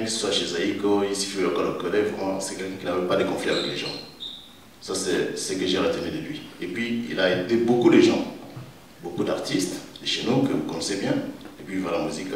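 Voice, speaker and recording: A middle-aged man from Congo with a Congolese accent gives an informal tribute speech in a halting, hesitant way. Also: low voice. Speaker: event speaker giving a tribute. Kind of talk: informal thank-you speech. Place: event hall with faint room noise. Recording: echoey hall, distant mic, faint noise.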